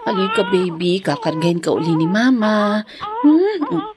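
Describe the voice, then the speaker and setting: A woman's voice: medium pitch, very animated, normal speed, crowd noise, natural voice. A woman playing a caring, playful young Filipino wife and mother in a radio drama, tender and chatty. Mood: soothing.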